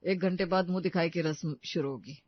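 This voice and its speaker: A woman voicing a calm, sensible grown man, the elder brother-in-law, in a cartoon story. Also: lowish voice, a little fast, dry recording, natural voice. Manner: soft, steady, sensible. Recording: dull dry recording.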